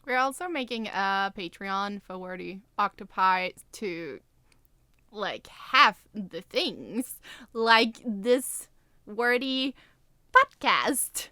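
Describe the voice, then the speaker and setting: Bright-voiced woman chatting jokily. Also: medium pitch, animated, slow pace, no echo. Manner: jokey, self-deprecating, rambling. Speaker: podcast co-host and writer. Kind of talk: casual chat.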